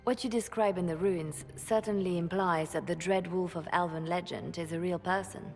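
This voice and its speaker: An actress playing a measured, inquisitive female advisor in a fantasy video game, formal and deliberate. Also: lowish voice, fairly even intonation, close to an everyday voice. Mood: pensive. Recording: hall reverb with music behind.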